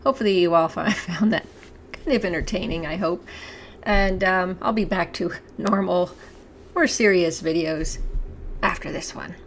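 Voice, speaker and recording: A woman, hosting her own channel, talking to camera in a chatty, halting way with a hoarse voice and frequent throat-clearing. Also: lowish voice, slight background noise. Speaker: channel host. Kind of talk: casual talk to camera.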